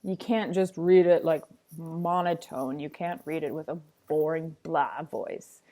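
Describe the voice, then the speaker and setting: A woman's voice: deep voice, fairly even intonation, measured pace, mellow. A woman reading a picture book aloud like a teacher, with a sing-songy, playful, dramatic delivery and chatty asides.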